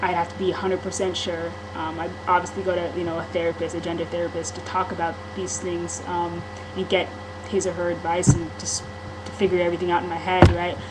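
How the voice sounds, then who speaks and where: A woman's voice: fairly low pitch, level tone, fairly quick, hardly any pauses, background noise. A woman talking candidly in a casual vlog monologue, rambling, with frequent ums and restarts. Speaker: vlogger.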